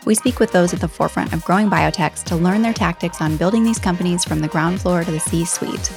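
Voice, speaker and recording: A woman with a slightly low voice co-hosts a podcast. She introduces and questions people in a friendly, conversational interviewing style. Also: brisk, gravelly, music in the background. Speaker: podcast co-host.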